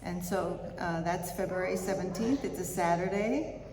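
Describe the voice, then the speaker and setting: A woman's voice: fairly low pitch, moderate pace, a crowd in the background. A woman giving an informal spoken announcement to a church congregation. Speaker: congregation member making announcements. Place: church sanctuary during a service. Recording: echoey hall, distant mic, noisy.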